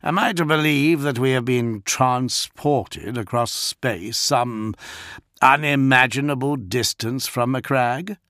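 A man's voice: mid-range voice, slow, a bit bright, no echo, studio quality, pitched above the performer's own voice. A man voicing a proud, suspicious male warrior lord who speaks curtly and commandingly. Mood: stern. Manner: curt, commanding.

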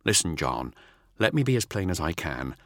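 A man's voice: low-pitched, very animated, moderate pace, a bit bright, no echo, clean studio sound, heavily acted. A man voicing a tired former soldier turned farmer who curtly refuses to be drawn back. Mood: firm. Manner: firm, curt.

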